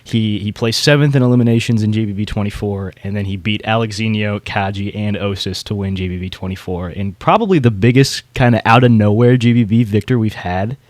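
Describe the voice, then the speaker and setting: A man's voice: fairly low pitch, fairly even intonation, brisk, hardly any pauses, darkish tone, no echo. A man hosting a podcast in a casual, chatty style. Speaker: podcast host.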